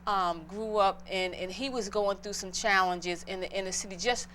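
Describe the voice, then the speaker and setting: A middle-aged woman from Boston speaks as an interview guest, talking earnestly and from the heart about her own path. Her voice sits at an ordinary pitch for a woman. A faint noise hums underneath. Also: fairly even intonation, moderate pace, fairly bright tone. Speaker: guest entrepreneur. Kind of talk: interview, personal story.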